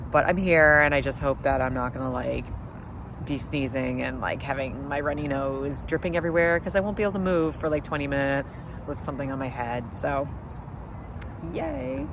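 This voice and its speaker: A man with a blocked-up nose from a summer cold talks at an ordinary middle pitch, rambling through a video diary with lots of fillers and dry sarcasm. He sits outdoors on a street curb while cars pass, and their noise comes through clearly. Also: expressive, normal speed. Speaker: vlogger. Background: passing traffic.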